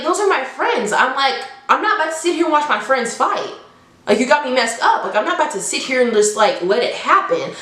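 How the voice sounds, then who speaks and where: A young woman with a higher-pitched voice, recorded in a roomy, echoing space. She tells a story casually and emphatically, with slangy phrasing and an exasperated edge, slipping into quoted dialogue as she goes. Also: a bit bright. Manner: informal slangy speech, acts out dialogue. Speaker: storytime vlogger.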